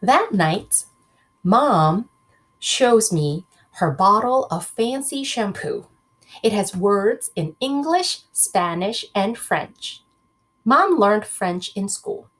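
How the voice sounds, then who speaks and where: A woman reads a children's book aloud as a teacher would to her class. Her pitch rises and falls in an animated way at an unhurried pace, with a husky edge. She often steps out of the text to put questions to the pupils. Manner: expressive, addresses children directly with questions.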